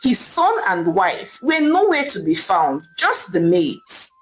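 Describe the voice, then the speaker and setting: A woman's voice: medium pitch, big pitch swings, slow, a little hiss or hum, poor audio. A woman with a Nigerian accent narrating a scripted story as a storyteller.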